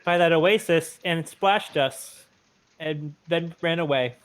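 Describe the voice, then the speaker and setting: High-voiced man, casual gamer. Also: slow pace, clean tone. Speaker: tabletop roleplaying player. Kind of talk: casual online game chat. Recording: noisy online mic with clicks.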